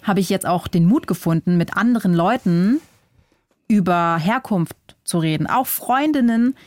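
A young German-born woman author whose first language is Vietnamese, speaking reflectively in a relaxed interview and thinking aloud with small restarts. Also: fairly low pitch, moderate pace, no echo, clean studio sound. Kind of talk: casual interview talk.

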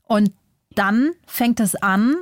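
A young woman from Germany, an author whose first language is Vietnamese, talks through her thoughts in a casual interview. She has a middle-pitched voice and often restarts mid-sentence as she searches for the right word. Her voice is close and dry, with no room sound around it. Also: slow, dry recording. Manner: reflective, thinks aloud with small restarts.

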